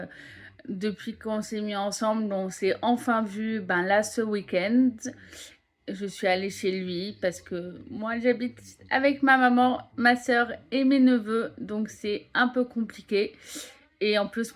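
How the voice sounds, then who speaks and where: A woman in her late twenties chatting casually to her viewers as a vlogger. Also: mid-range voice. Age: 20s. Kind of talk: casual vlog chat.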